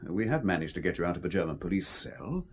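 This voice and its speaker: An actor playing a suave, courteous, quietly menacing British intelligence man in a radio spy drama, urbane and evasive. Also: low-pitched, very animated, fast, slight background noise. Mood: measured, guarded. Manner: urbane, evasive, formally polite.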